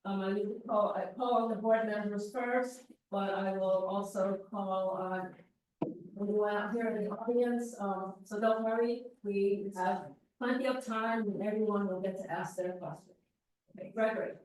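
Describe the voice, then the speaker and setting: A woman's voice: medium pitch, fairly even intonation, moderate pace, darkish tone. Woman chairing a meeting in an echoey room. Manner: hesitant, many fillers, trailing off. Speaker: committee chair. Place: community board meeting room. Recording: echoey room, far from the mic.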